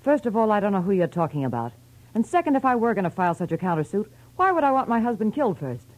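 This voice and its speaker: Icy, glamorous American wife in 1940s radio noir, clipped, scornful, dangerous. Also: female voice, medium pitch, big pitch swings, fast, rough recording. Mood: cool, defiant. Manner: curt, scornful, threatening. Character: cold, glamorous, hard-edged femme fatale wife.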